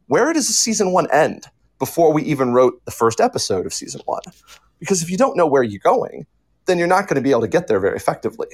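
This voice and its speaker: A man's voice: medium pitch, slightly rough. A man on a panel explaining the writing process as a show creator, methodical and emphatic.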